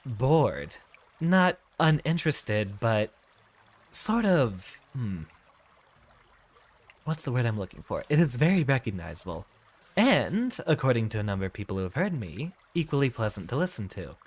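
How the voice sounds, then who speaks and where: A man speaks in a cultivated, professorial streaming voice with a light gravelly fry on the vowels. His cadence is musical and softly feminine, rising and falling widely. Sentences are formal and deliberate, settling downward as they close. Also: medium pitch. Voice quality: voice placed at back of throat, raised above natural register. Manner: sentences swoop up and down, dropping at the end. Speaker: game streamer. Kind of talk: livestream commentary in a practiced professor persona.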